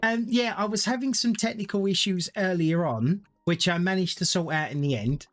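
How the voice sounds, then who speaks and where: A man's voice: high voice, moderate pace, fairly bright tone, faint music behind. A man, chatting casually and thinking aloud as he streams a game to viewers. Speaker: gaming streamer. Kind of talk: casual live-stream gameplay commentary.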